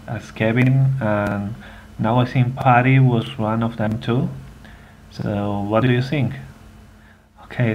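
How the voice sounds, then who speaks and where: A man's voice: on the low side, a little mellow. Argentine-accented man on a noisy voicemail. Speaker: listener leaving a voicemail. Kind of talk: informal voicemail message. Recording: noisy voicemail recording with ambience.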